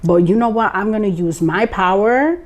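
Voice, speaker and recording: Young female guest from Lawrence, Massachusetts; animated, blunt, slangy storyteller. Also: mid-range voice, moderate pace. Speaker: guest, former radio co-host. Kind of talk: casual chat.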